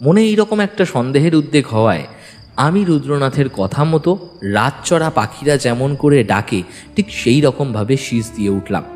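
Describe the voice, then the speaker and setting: A man's voice: mid-range voice, darkish tone. A man narrating a detective audio story in the first person, suspenseful and dramatic. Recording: long reverb, music bed. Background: music.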